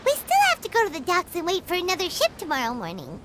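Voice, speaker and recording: A woman voicing a tiny, excitable floating girl companion who chatters and refers to herself by name, in video game dialogue. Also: extremely high pitch, quick pace, heavy background noise, heavily acted. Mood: playful. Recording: game audio with music underneath. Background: game music.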